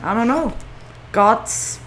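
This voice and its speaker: A woman's voice: fairly high pitch, slow, slight background noise. A woman from Switzerland with a Swiss accent, a guest telling stories in a casual podcast interview. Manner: animated storytelling with quoted dialogue. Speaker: guest, non-profit founder.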